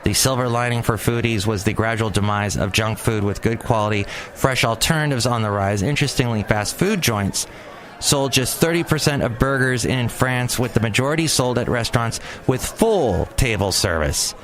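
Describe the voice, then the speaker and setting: A man's voice: medium pitch, moderate pace. A man hosting his own podcast outdoors near a cafe, giving rambling, opinionated news commentary and stumbling over words. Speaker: podcast host. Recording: outdoor street noise. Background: traffic and city ambience.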